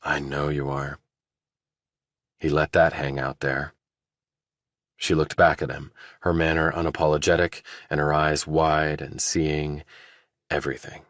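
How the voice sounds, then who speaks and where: A man narrating a thriller audiobook, reading scripted prose and character dialogue in a deep voice. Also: little pitch movement, measured pace, long pauses, fairly bright tone, dry recording, clean studio sound.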